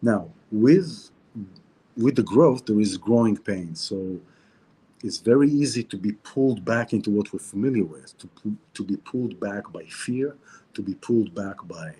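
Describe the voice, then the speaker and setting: A man with an Israeli Hebrew accent gives a casual spiritual talk in a low, husky, mellow voice. He is reflective, circles back over his phrases and often restarts mid-sentence. He records indoors at a seaside hotel. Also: animated, moderate pace, poor audio. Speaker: host of a weekly Torah talk.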